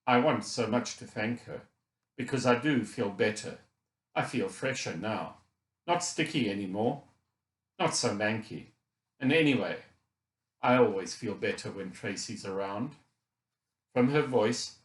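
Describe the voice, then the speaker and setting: A man's voice: mid-range voice, measured pace, pauses often, reverberant, lightly acted. A man voicing an injured, frustrated boy who thinks aloud in a chatty, candid, colloquial way, as first-person audiobook narration. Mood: fond. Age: child.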